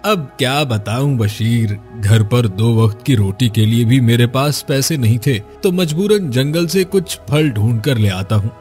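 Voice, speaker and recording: A man's voice: medium pitch, lively intonation, a little slow, hardly any pauses, a little mellow, soft background music, close to an everyday voice. A man playing a sly, greedy villager who schemes for money in a scripted cartoon story. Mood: evasive.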